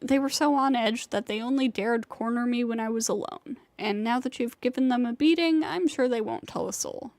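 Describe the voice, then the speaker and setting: Woman playing a weary, high-voiced young female game rider. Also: normal speed, hardly any pauses, darkish tone, no room sound, close to an everyday voice. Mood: explaining, matter-of-fact. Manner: weary, venting, self-deprecating. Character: young female flying rider, burnt-out, bitter, self-doubting dropout. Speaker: video game character. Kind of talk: scripted video game voice acting. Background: game music.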